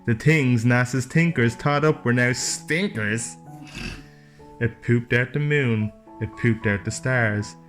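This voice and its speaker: A man's voice: medium pitch, takes it slowly, a bit bright. A man reading a rhyming storybook aloud as a father would to his child, with playful, chatty asides. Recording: music playing behind the voice. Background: plucked guitar music.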